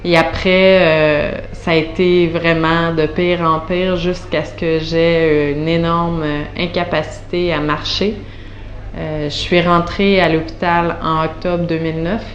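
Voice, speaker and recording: A woman in her thirties with a Quebec French accent speaks slowly and haltingly, stopping often to search for words. Her voice sits a little low. The room is echoey, with a faint hum of background noise. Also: little pitch movement, lo-fi sound. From Montreal, Canada. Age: 30s. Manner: hesitant, many fillers and restarts. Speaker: patient giving a testimonial. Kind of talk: unscripted spoken testimonial.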